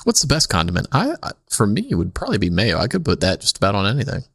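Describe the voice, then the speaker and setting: A man's voice: on the low side, huge pitch swings, fast, creaky, fairly bright tone, slightly rough, dry recording, clean studio sound. A man co-hosting a comedy podcast in casual, playful banter, swearing freely.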